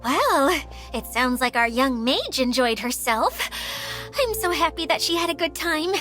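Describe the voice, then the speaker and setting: A woman voicing an airy, work-shy, easily flustered young female guide in a video game, with an expressive, hesitant delivery. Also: high voice, unhurried, faint music behind, exaggerated voice. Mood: pleasant, wistful. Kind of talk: video game cutscene acting.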